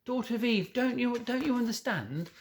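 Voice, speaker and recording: A man's voice: extremely high pitch, expressive, normal speed, vocal fry, voice pushed up, full character voice. A man reading a storybook as an anxious, tearful male fawn who stammers and pleads. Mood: agonised. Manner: stammering, pleading, self-reproaching.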